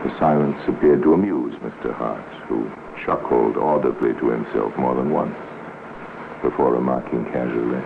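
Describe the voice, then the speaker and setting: A man narrating a 1950s radio crime drama in a measured, solemn, dramatic way, as a grave storyteller. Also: very deep voice, vocal fry. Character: grave male storyteller of a police crime tale. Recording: tinny, noisy 1950s radio recording.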